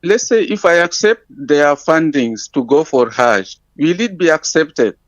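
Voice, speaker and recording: A man's voice: fairly high pitch, lively intonation, unhurried, no echo. A man from Cameroon calling in to ask a question, polite and hesitant with many fillers. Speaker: caller.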